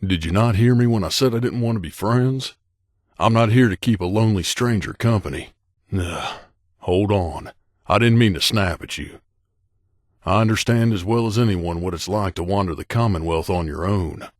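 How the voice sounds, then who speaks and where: Man playing a low-voiced, gruff grizzled mercenary. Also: moderate pace, no room sound, clean studio sound, lightly acted. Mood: irritable. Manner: gruff, curt, world-weary. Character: grizzled, guarded male mercenary bodyguard, veteran wanderer. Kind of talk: video game companion dialogue.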